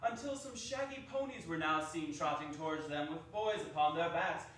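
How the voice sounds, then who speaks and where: A man with a high voice narrates from a stage, leaning into each phrase for drama. He sounds distant, with heavy hall echo and a little room noise. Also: moderate pace. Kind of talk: theatrical narration. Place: small arts center stage. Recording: echoey hall, mic far off.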